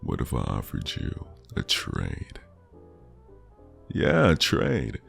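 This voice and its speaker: A man playing a flirty, charming stranger in an intimate, teasing ASMR roleplay, with a deep, gravelly voice and a lingering delivery. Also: huge pitch swings, slow pace, fairly bright tone, faint music behind, full character voice. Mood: playful, coaxing. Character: flirty charming male stranger at New Year's party.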